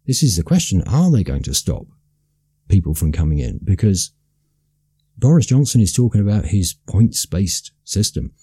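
A man with a deep, very dark and slightly husky voice delivers a solo commentary straight into a close mic. His pitch rises and falls sharply as he drives home each point with emphatic restarts. The sound is dry, with no room around it. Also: very animated, normal speed, no echo. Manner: impassioned, emphatic, with frequent restarts.

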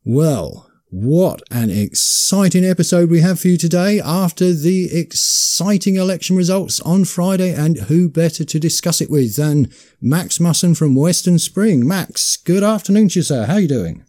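Male radio host, slightly higher voice with a warm, soft tone, punchy and emphatic delivery. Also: lively intonation, normal speed. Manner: emphatic, gleeful listing. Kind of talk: internet radio talk show.

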